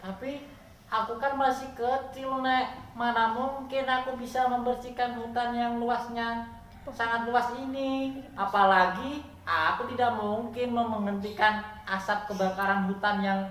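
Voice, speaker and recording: Woman playing an expressive young storybook boy. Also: on the high side, measured pace. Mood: doubtful. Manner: expressive, childlike read-out dialogue. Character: stubborn young boy in a fairy tale. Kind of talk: dramatized fairy-tale reading. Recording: roomy, echoing, slightly noisy recording.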